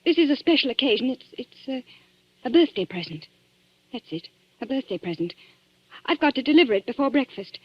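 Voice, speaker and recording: A woman's voice: high voice, big pitch swings, brisk, pauses often, slightly rough, no room sound, heavily acted. An actress playing a secretive, desperate young lady in a 1940s radio drama, speaking in a genteel, hesitant, urgent way. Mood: nervous, pleading. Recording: muffled dry vintage 1940s radio broadcast.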